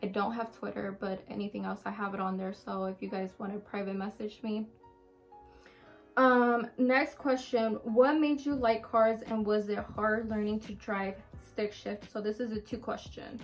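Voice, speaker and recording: A woman's voice: mid-range voice, flat delivery, moderate pace, soft background music, low-quality recording. A woman of about twenty chatting casually to camera, answering viewer questions in a candid, rambling way with many ums. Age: around twenty. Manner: chatty, frequent ums and restarts, open and candid.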